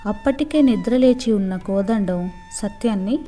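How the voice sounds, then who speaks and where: A woman's voice: mid-range voice, moderate pace, mellow, faint music behind. A woman reading a scripted moral story for children as a storyteller.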